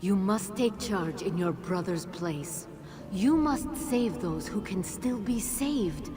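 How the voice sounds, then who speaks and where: An actress playing a mysterious, wise female guide in a video game cutscene, speaking in a grave, measured, authoritative way. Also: mid-range voice, expressive, over music. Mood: firm, urging.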